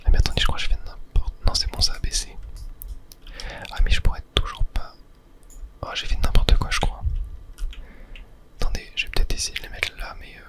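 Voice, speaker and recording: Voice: fairly quick, pauses often. A person whispering ASMR commentary over a video game on a close mic, thinking aloud hesitantly and correcting themselves as they go. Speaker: gaming video host.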